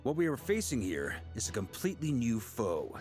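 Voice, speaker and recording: Casting: assured fantasy-game commander, medium pitch, lively inflection, pragmatic, tactical, steady. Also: male voice. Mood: briskly businesslike. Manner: measured tactical explaining. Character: seasoned, confident male knight commander, pragmatic and steady. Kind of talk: video game voice acting. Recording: music behind.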